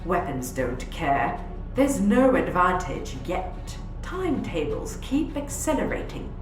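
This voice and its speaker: Actress as female military commander, slow delivery, grave, deliberate, authoritative, roomy echo, sci-fi audio drama. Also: fairly low pitch, very animated. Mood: controlled, briefing. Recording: roomy echo under prominent music.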